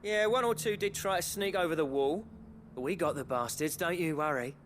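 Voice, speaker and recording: A man's voice: a little high, a little fast, fairly bright tone, slight background noise, natural voice. A male actor playing a plain-spoken, chummy fighter in a video game, wry and colloquial, with a working-class English accent. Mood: elated, relieved. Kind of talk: dramatic game acting.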